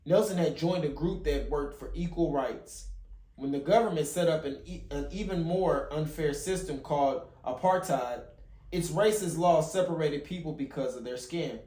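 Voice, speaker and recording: Man, slightly high voice, storytime read-aloud, occasional stumbles and rereads, roomy echo. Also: moderate pace, warm tone. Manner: stumbles and rereads phrases as he reads. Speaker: father hosting a children's read-along channel. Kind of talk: reading a picture book aloud. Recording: roomy, echoey home recording.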